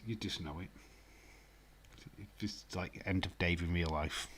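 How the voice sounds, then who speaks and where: A man's voice: low voice, measured pace, fairly bright tone, slight background noise. A man with a Yorkshire accent hosting a live stream, chatting casually and teasing his chat, laughing often and sometimes dropping to a near whisper. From Yorkshire, England.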